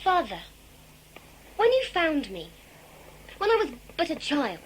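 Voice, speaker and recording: A woman's voice: high voice, wildly swooping pitch, measured pace, a little hiss or hum, exaggerated voice, low-quality recording. A woman acting a young, fiery, troubled adopted daughter in a sci-fi audio drama, earnest and pleading. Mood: hesitant, troubled.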